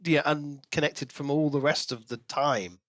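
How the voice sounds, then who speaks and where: A man's voice: a little high, measured pace, fairly bright tone, no room sound, clean studio sound. A man co-hosting a podcast, chatting casually about books in a questioning, conversational way. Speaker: podcast co-host. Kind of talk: casual book discussion.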